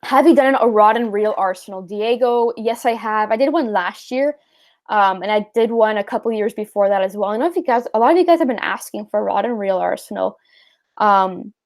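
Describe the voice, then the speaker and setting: A woman's voice: medium pitch, a little mellow. A young Canadian woman hosting a fishing livestream, chatting casually and answering viewer questions, with lots of fillers and easy laughs. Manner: chatty, rambling, laughs easily, many fillers. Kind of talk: casual live chat reading viewer questions.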